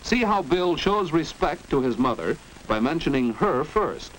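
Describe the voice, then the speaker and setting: A man's voice: on the high side, sing-song, a little slow, a bit bright. A man with an American accent narrating a 1940s instructional film in a precise, didactic manner. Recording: noisy 1940s educational film soundtrack.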